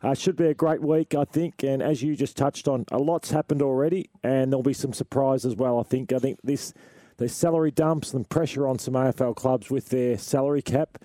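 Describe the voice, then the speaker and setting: A man with an Australian accent co-hosting sports radio, hoarse from a lost voice, talking in a laid-back halting way. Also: medium pitch, steady tone, warm tone, no room sound. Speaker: radio co-host, former footballer. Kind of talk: casual sports chat.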